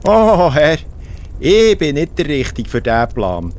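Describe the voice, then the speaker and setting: An actor playing a humble, fearful shepherd in a children's audio drama, exclaiming in astonishment. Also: male voice, fairly high pitch, wildly swooping pitch, unhurried, a little mellow. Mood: fearful pleading.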